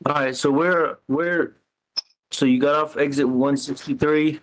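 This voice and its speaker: A man on a recorded phone call, asking probing questions in a halting way, often repeating his words. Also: fairly high pitch, fairly even intonation, fairly bright tone, a little hiss or hum. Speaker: caller questioning the other person.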